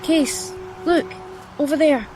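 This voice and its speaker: A woman's voice: high voice, takes it slowly, noisy background. A woman playing a wary, cheeky twelve-year-old homeless girl, a younger sister, in an audio drama. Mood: anxious.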